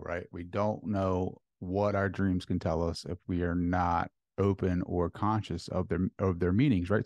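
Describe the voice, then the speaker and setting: A man's voice: low voice, darkish tone, no echo. A young man hosting an interview, asking questions casually with lots of "like" and "right?". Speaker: podcast host. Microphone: studio mic on boom arm, headphones.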